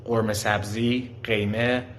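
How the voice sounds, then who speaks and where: A man's voice: fairly low pitch, level tone, takes it slowly, slight background noise. A young man from Iran, a native Farsi speaker with an Iranian accent, explaining his country's culture in a simple, friendly way and asking the listener questions. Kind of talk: simple explanatory talk aimed at children.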